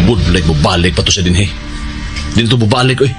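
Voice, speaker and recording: A man's voice: low voice, expressive, normal speed. An actor with a Visayan Filipino accent playing a sly, bossy man in a Bisaya radio drama. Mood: conspiring. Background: music behind the voice.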